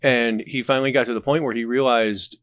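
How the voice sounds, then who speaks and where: A man talking casually as a podcast guest, telling anecdotes in an animated, conversational way. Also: medium pitch, a little fast, no room sound. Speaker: podcast guest, audio drama creator.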